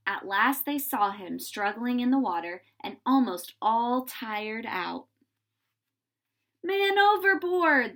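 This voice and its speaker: A woman reading a children's story aloud in an animated, dramatizing way, with pauses for suspense. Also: mid-range voice.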